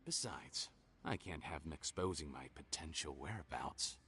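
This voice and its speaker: A man's voice: extremely low pitch, animated, moderate pace, pushed and bright, heavily acted. A man voicing a scheming, mocking criminal villain in a video game, theatrical and gloating in his delivery. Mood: gloating, sneering. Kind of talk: scripted video game dialogue.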